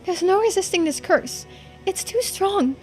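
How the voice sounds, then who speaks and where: A woman's voice: extremely high pitch, lively intonation, unhurried, soft background music, pitched above the performer's own voice, rough recording. A woman voicing an earnest, distressed young island girl in a visual novel read-through. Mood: desperate, resigned.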